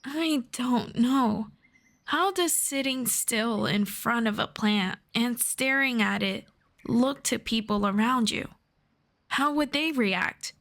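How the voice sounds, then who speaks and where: A woman playing a gentle, earnest elf friend in a soft-spoken, measured ASMR fantasy roleplay. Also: on the high side. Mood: concerned. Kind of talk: slow scripted ASMR roleplay.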